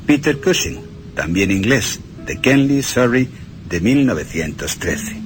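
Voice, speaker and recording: A man's voice: medium pitch, sing-song, measured pace, slight background noise. A man presenting a scripted radio narration about cinema and literature in a dramatic storytelling way. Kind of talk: scripted film-history narration with dramatic readings.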